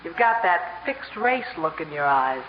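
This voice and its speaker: A woman's voice: fairly low pitch, very animated, unhurried. A long-suffering woman, wry and exasperated with her man, in a 1950s radio comedy. Her voice moves expressively up and down. The old recording is dull with faint noise.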